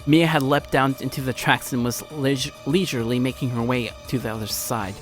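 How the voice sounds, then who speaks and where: Casting: male let's play narrator, medium pitch, dramatic amateur read with stumbles, background music. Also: steady tone, a little fast. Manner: theatrical, often stumbles over the text. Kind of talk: dramatic read-aloud narration of visual novel. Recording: music behind, low-quality home recording.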